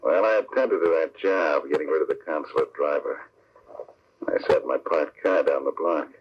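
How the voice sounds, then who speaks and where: Deep-voiced, smug gangster henchman. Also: normal speed. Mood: smug, calm. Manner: self-satisfied, matter-of-fact. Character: smug, cool-headed male underworld killer and henchman. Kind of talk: old-time radio drama acting. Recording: muffled old radio recording, faint hiss.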